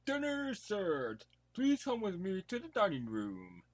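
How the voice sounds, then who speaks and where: A man's voice: sits high, huge pitch swings, measured pace, exaggerated voice. A man playing a polite, formal, deferential butler in an amateur audio drama. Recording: quiet, clearly noisy home recording.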